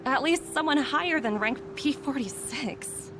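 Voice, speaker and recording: A woman's voice: high voice, animated, measured pace, noisy background. An actress playing a proud, guarded young businesswoman in a video game, curt and easily irritated. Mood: surprised, wary. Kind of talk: dramatic game dialogue.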